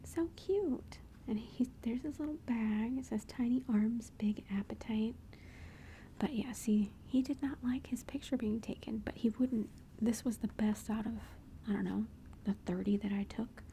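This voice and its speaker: Mom whispering asmr style over family pics, gushy and chatty, kinda high, warm mellow sound. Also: female voice, moderate pace, slight background noise. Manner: soft whisper throughout; gushing, affectionate, chatty. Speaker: mother showing family photos. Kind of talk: whispered ASMR photo show-and-tell.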